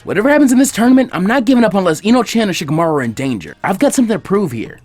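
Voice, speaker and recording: A man's voice: high voice, sing-song, racing, no room sound, soft background music, pitched above the performer's own voice. A man doing a brash, cocky teen boy ninja. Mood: determined. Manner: cocky, loud, showy.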